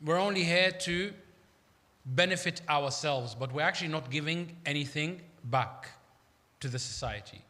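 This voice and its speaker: A man's voice: on the high side, normal speed, bright, forceful tone. A man from Iraq preaching a sermon in a conversational, emphatic manner, with rhetorical questions. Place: large hall at an Islamic centre. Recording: echoey hall with long reverb.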